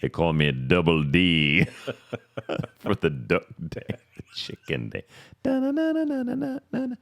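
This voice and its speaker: A man's voice: deep voice, huge pitch swings, takes it slowly, vocal fry, no room sound. A man co-hosting a podcast in casual banter, playfully dropping in quips. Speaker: podcast co-host.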